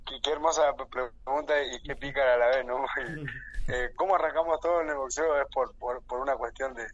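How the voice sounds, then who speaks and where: A man's voice: medium pitch, fairly even intonation, a little fast, fairly bright tone, muffled recording, slight background noise. A man in his thirties with an Argentine accent, a boxer guest talking on a radio phone interview, hesitant and repeating words, laughing easily. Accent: Argentine Spanish. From San Martín de los Andes, Argentina. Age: 30s.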